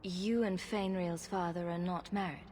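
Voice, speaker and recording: A woman's voice: fairly low pitch, measured pace, a little hiss or hum, close to an everyday voice. Woman voicing a wry, confident adventurer. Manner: calm, direct, wry. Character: confident female adventurer hero, dry wit.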